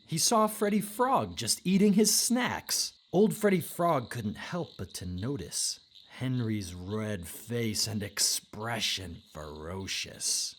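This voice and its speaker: A woman's voice: very deep voice, very animated, slow pace, hardly any pauses. A woman narrating a rhyming children's storybook as a scripted read-aloud.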